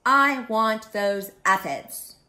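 Woman voicing a loud, grouchy, bullying ladybug. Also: on the low side, sing-song. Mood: angry, demanding. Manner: shouting, blustering, drawn-out demands. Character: grouchy, bullying ladybug picking fights, boastful and bossy.

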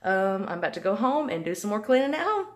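A woman's voice: mid-range voice, very animated, fast. A young woman vlogging casually from her home kitchen after cleaning, talking in a rambling way full of ums, hot and sweaty. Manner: chatty, rambling with many ums. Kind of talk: casual cleaning vlog chat. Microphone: phone camera close up.

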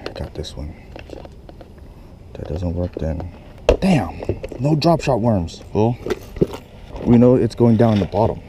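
Man, very low voice, casual fishing vlogger talking to himself, action-cam audio with clear outdoor noise. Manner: muttering to himself, swears often. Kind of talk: casual talk while fishing. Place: small kayak on a lake outdoors. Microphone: head-mounted action camera.

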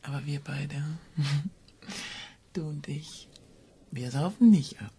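A low, languid, teasing woman. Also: lively intonation, takes it slowly, warm tone, slight background noise. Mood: dreamy, teasing. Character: detached, teasing, cold-blooded woman conspirator. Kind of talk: German radio crime drama acting.

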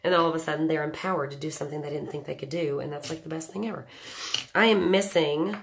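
A woman's voice: low voice, fairly even intonation, fast talker, gravelly, noisy background. A woman in her thirties with a nasal voice from a cold, chatting casually with viewers while doing her makeup at home. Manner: chatty, joking, greets viewers by name. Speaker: makeup livestream host. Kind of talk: casual live makeup tutorial chat. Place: small home room indoors.

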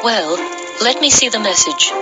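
A woman playing a wise, matter-of-fact Finland village woman in a children's storybook, with a husky voice. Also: medium pitch, expressive, moderate pace, over loud music, close to an everyday voice. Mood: knowing.

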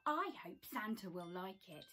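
A woman's voice: mid-range voice, big pitch swings, a little slow, pushed and bright, soft background music, voice pushed down. A woman voicing an eager, hopeful young male bear in a children's story, exclaiming cheerfully and insistently. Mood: cheerful, sure.

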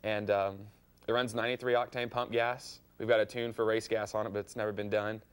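A man's voice: on the low side, level tone, a little fast, smooth. A young American man talking casually as an interview guest in an open garage. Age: young adult. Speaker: car owner being interviewed. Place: open home garage and driveway.

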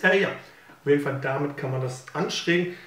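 Excitable young man with a cold, roomy sound. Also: on the high side, normal speed. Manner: rambling, excitable, many filler sounds. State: slightly nasal from a cold. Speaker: unboxing video host. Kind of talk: casual unboxing commentary. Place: living room at a table. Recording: roomy, distant camera audio with faint hiss.

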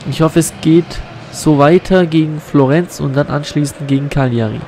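A man's voice: a little high, moderate pace, darkish tone, a little hiss or hum. A man with a cold and a blocked nose, commenting on a football video game he is playing, recorded on a USB condenser mic at home. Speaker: gaming YouTuber.

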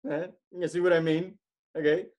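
A man performing a theatrical comedic monologue as a pompous, melodramatic amateur writer with a husky voice and dramatic pauses. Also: fairly high pitch, lo-fi sound, natural voice. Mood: smug lecturing. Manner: smug, animated, chuckling, dramatic pauses.

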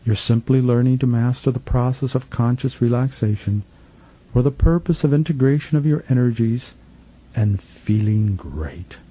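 A man leading a guided meditation as a spiritual teacher, gently instructing in a soothing, repetitive manner with trailing phrase endings, recorded in a studio. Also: mid-range voice, normal speed, husky, low-quality recording. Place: professional studio.

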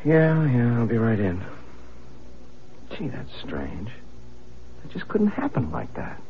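An actor playing a skeptical, stubborn and exasperated husband in a radio drama. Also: male voice, medium pitch, huge pitch swings, slow pace, slight background noise, heavily acted. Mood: baffled. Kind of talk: 1970s radio drama acting. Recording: dull old radio broadcast with music.

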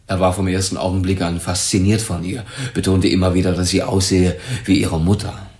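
Man playing troubled businessman, low voice, earnest, defensive, hesitant, roomy sound, crime radio play. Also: little pitch movement, fairly quick, darkish tone, natural voice. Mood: subdued, confiding. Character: defensive, troubled businessman under police questioning, grown son. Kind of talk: German radio crime drama acting.

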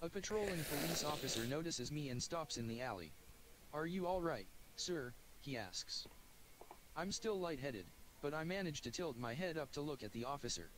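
Synthetic male narrator, slightly high, even mechanical reading, faint noise. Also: normal speed, poor audio. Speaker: text-to-speech reader. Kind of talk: first-person story narration.

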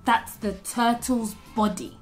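A young woman presenting a children's lesson, animated and encouraging, speaking clearly for small children. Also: a little high, slow pace, faint music behind. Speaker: early years teacher and host. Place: small room with plain walls, indoors.

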